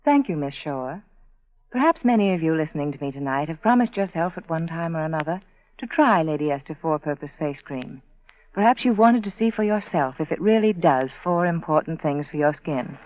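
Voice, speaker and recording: A woman giving a scripted radio advertisement as the sponsor, measured and persuasive, speaking to listeners. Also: deep voice, expressive. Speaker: sponsor spokeswoman. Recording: dry, dull 1940s radio broadcast.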